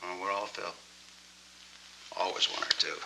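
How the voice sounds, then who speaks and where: A very bright, forceful male voice as a middle-aged hotel clerk in an old 1940s film. He is kindly and wry, with a folksy, hesitant way of talking, over a faintly noisy soundtrack. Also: sits low, level tone, moderate pace, creaky, exaggerated voice. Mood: sympathetic, wry.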